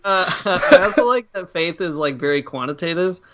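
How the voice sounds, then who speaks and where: A man's voice: sits high, expressive, moderate pace, background noise. A man co-hosting a podcast in casual, playful chat, laughing often. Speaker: podcast co-host.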